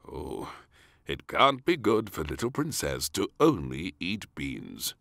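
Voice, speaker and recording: A man's voice: deep voice, wildly swooping pitch, slow, vocal fry, a bit bright, no echo, studio quality, exaggerated voice. A man voicing a jolly, doting king and father in a children's storybook, hearty and exaggerated. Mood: worried. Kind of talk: read-aloud children's storybook.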